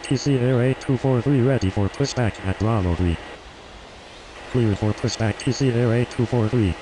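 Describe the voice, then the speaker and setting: A man acts as a game's airline pilot on the radio. He has a mid-pitched voice and speaks in fixed procedural phrases. The recording carries loud background noise. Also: normal speed, lightly acted. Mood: routine, businesslike. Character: male airline pilot making routine radio calls. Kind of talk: scripted air traffic radio readbacks. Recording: noisy background hum.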